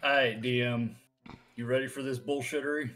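A man's voice: medium pitch, steady tone, brisk, a bit bright, low-quality recording. Joking, sweary man playing a tabletop game. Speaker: player in a tabletop roleplaying game. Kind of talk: casual chat while playing.

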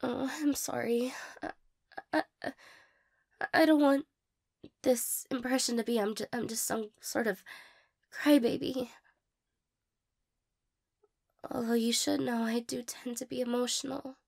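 Woman acting a high, stammering, insecure young woman. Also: fairly even intonation, moderate pace, vocal fry, pauses often, darkish tone. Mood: nervous, embarrassed. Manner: hesitant, stammering, apologetic, breaking into whispers. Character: shy, insecure, tearful young woman being asked out. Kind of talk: intimate romantic audio roleplay acting.

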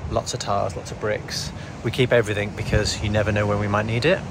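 A man's voice: on the low side, flat delivery, fast talker, noisy background. A man in his thirties chatting playfully to camera about renovating an old house. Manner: chatty and playful, laughs often. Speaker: home renovation vlogger. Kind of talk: casual chat to camera. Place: bare rooms of an old house under renovation. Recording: echoey empty room, background music.

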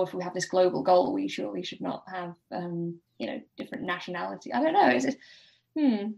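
A woman speaking as a podcast guest in casual discussion, hesitant and halting as she thinks aloud. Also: fairly low pitch, creaky, a little mellow, rough recording.

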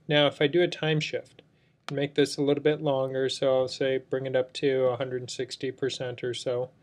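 A man narrating an unscripted screen tutorial as an instructor, explaining things step by step. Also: mid-range voice. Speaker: software instructor. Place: indoors, screen recording voice-over.

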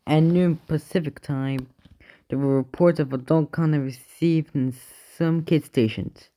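A man delivering an improvised mock emergency broadcast announcement, haltingly, stumbling over words and correcting himself. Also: a little high, fairly even intonation, mellow. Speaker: narrator of fictional emergency alerts.

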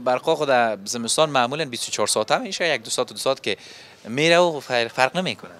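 Bright-voiced young Afghan male TV reporter. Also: medium pitch, expressive. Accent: Afghan Dari. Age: young adult. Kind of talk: on-location TV report and street interview. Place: open-fronted electronics shop on a market street. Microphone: handheld reporter microphone.

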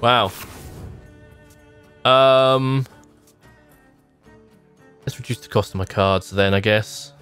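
Male game streamer, thirties, medium-pitched, casual musing commentary recorded at home over background music. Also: drawn-out words, pauses often, fairly bright tone, clear, resonant tone. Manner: musing, thinking aloud in short remarks. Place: small home room, indoors.

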